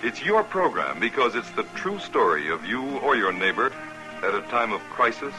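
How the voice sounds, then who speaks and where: A man's voice: mid-range voice, big pitch swings, normal speed, poor audio. A man reading a scripted 1950s broadcast promo as a program host, earnest and addressing the listener directly. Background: music.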